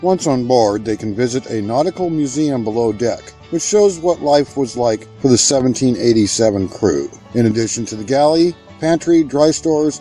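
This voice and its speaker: A man reading a scripted documentary narration in a steady, factual way. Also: mid-range voice. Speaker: narrator of a park history video.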